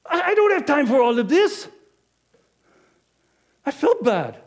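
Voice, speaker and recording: A middle-aged innkeeper who counts every coin and still feels the guilt, as a man plays him in a monologue. His voice sits high and jumps about restlessly. He talks with emphatic force, then sinks low. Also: normal speed. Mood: guilty. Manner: animated, emphatic, swings loud to hushed. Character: middle-aged male innkeeper, money-minded and guilt-ridden. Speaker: actor. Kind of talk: dramatic first-person monologue.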